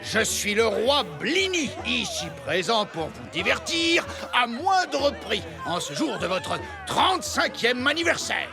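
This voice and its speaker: A man playing a jolly, theatrical cartoon king with a drawn-out, exclamatory delivery. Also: very high voice, very animated, over music, full character voice. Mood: showy. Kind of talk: animated children's cartoon dubbing.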